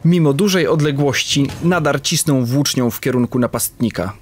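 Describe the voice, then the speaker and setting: A man narrating an audio drama in a measured storytelling manner. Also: mid-range voice.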